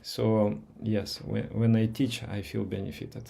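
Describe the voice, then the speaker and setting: A man in at least his forties with a Russian accent talks slowly and softly as a meditation teacher. His voice is warm and mellow, and he pauses often, repeating words and searching for them in English. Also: fairly low pitch, little pitch movement, creaky, slight background noise. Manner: slow, pausing, gentle, with many "uh"s and repeats. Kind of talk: live Q&A session with viewers.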